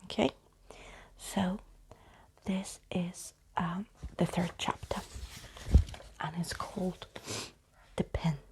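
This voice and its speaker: A woman reading a story aloud in a soft ASMR style, hushed and often half-whispering. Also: lowish voice, steady tone, takes it slowly, pauses often, low-quality recording.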